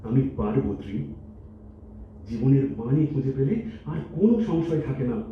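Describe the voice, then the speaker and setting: A man's voice: medium pitch, lively intonation, normal speed, lightly acted. A man playing a hurt, earnest young lover in staged Bengali audio drama, with a Kolkata accent and emphatic, emotive delivery. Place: multipurpose hall stage in Kolkata. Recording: echoey hall, distant, muffled, faint noise.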